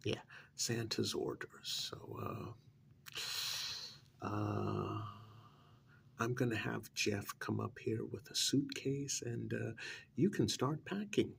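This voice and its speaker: Man playing weary sheepish guy, ASMR role-play, soft near-whisper, thick vocal fry, hesitant rambling, faint background noise. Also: fairly low pitch, very animated, slow pace, long pauses, full character voice. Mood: resigned. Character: weary, sheepish man breaking bad news, resigned and defensive.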